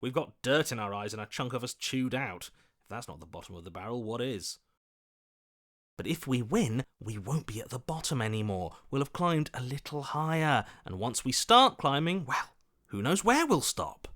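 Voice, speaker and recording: A man's voice: mid-range voice, sing-song, normal speed, fairly bright tone. A man voicing a scheming, self-serving opportunist in a video game, with a sly, ingratiating, theatrical manner. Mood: rousing, persuasive. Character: scheming, self-serving, weaselly opportunist voice inside the hero's head.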